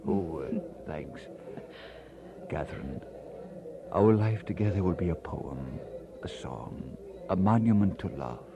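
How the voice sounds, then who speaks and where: Earnest preacher in love, courtly, sentimental, animated pitch, old radio drama, dull vintage sound. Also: male voice, takes it slowly, vocal fry, pauses often, background noise, full character voice. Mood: tender, solemn. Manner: courtly, sentimental, formal phrasing. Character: earnest, romantic Scottish-born preacher in love, adult man. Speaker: actor in a religious radio drama.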